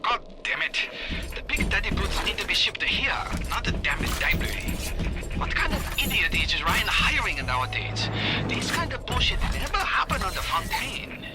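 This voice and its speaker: Game role: abrasive, grasping male scientist, clipped broken English, highly animated delivery, prominent music underneath. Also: normal speed. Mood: frustrated, irritated. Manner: clipped broken English, dropping articles and verbs. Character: abrasive, cynical, greedy male scientist dictating audio diaries. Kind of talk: video game acting, recorded diary monologue. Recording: music playing behind the voice.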